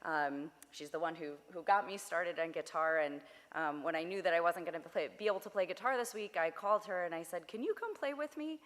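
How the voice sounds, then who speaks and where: A young woman with a slightly hoarse voice, leading a worship service in a chatty, friendly way with many fillers. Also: on the low side, lively intonation, fairly quick, hardly any pauses, fairly bright tone. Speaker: service leader. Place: synagogue sanctuary. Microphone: body mic. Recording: long reverb of a sanctuary.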